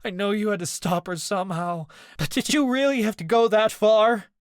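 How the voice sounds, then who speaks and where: Sobbing, enraged young man, voiced by a male narrator; pitched high, strained, breaking. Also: normal speed, dry recording, clean studio sound, natural voice. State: crying. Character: young man, grief-stricken, sobbing and furious.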